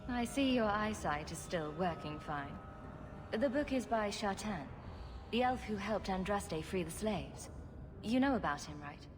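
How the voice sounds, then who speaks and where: An actress playing a wry, loyal rogue heroine in a video game, with a dry, composed delivery. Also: female voice, medium pitch, lively intonation, a little slow, close to an everyday voice. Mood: teasing. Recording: music behind.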